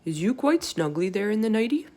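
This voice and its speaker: A woman voicing a gentle, emotional storybook giant who talks in jumbled grammar and silly invented words. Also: medium pitch, sing-song, brisk. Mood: concerned. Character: gentle, tearful, kindly giant with jumbled childlike speech.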